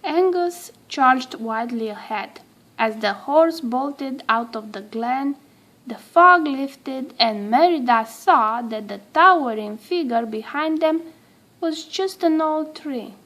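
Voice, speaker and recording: A woman with a high voice reads a children's storybook aloud. She takes her time over each phrase and tells it expressively, at a very slow pace. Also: lively intonation, fairly bright tone, poor audio. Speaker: storybook reader.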